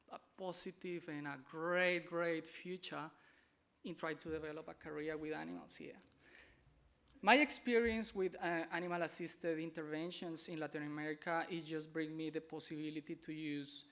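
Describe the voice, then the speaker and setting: Colombian man speaking, gravelly and halting. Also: fairly high pitch, fairly bright tone. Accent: Colombian Spanish. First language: Spanish. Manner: halting, searching for words, repeats himself. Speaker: conference speaker. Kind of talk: conference presentation. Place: conference hall.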